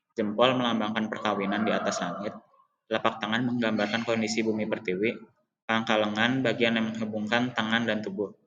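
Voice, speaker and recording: Young man reciting a school report, flat. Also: on the low side, dull audio, noisy background. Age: young adult. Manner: even, list-like recitation with occasional slips and restarts. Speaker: high school student presenting. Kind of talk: reading a school presentation aloud.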